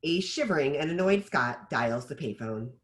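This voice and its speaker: A man's voice: on the high side, lively intonation, normal speed, a bit bright. A man narrating scripted stage directions as the narrator of a table read.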